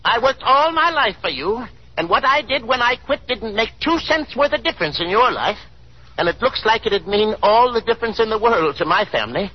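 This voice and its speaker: A man's voice: high-pitched, very animated, fairly quick. An actor playing an overwrought middle-aged father in a 1930s radio drama, agitated, stammering and folksy in his speech. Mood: anguished, indignant. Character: overwrought aging father, ruined small factory owner, defiant. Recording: muffled 1930s radio broadcast recording.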